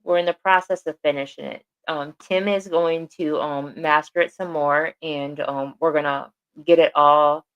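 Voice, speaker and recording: Earnest woman, lower voice. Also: steady tone, moderate pace, few pauses, highs cut off. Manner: earnest, repeats phrases for emphasis. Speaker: podcast co-host. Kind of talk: casual podcast chat.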